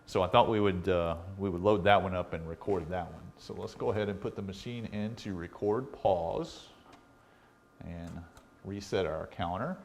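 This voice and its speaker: A man hosting a repair video, talking in a casual, unhurried, conversational way as he demonstrates equipment. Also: deep voice, background noise. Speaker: host of electronics repair videos. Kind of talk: casual product demonstration talk.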